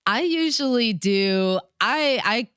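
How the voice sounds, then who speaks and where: A woman's voice: on the high side, lively intonation, takes it slowly, fairly bright tone, clean tone, no echo, studio quality. A woman speaking as a radio DJ being interviewed, telling stories in casual, conversational chat. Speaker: radio DJ and show host, interview guest.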